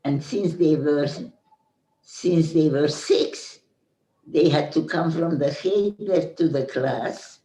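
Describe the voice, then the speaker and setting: A woman in her nineties with a Hungarian accent, giving slow, halting testimony as a survivor. She often restarts phrases and checks in with "Okay?". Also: sits low, mellow. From Hungarian-speaking Central Europe. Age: 90s. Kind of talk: slow personal recollection. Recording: roomy echo.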